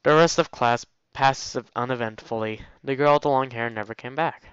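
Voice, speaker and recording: A man's voice: mid-range voice, normal speed, fairly bright tone, clean tone, dry recording, dull audio. A man reading a visual novel aloud casually, dropping in jokey commentary as a let's play host. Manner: jokey asides while reading.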